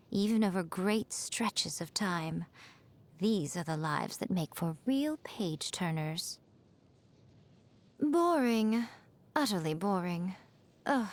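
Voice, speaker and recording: A woman voicing a sly, elegant fox shrine maiden for a video game, poised and coy, with a teasing, wryly condescending manner and drawn-out phrasing. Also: mid-range voice, darkish tone, close to an everyday voice. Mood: musing, then bored disdain. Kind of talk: scripted video game character voice lines.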